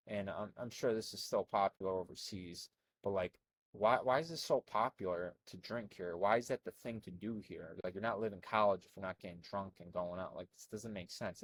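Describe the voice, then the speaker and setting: A young man from Albania who grew up in America, talking over on-screen text in a rambling, animated way full of fillers and restarts. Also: on the low side, breathy edge. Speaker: health coach. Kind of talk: casual commentary reading from a screen.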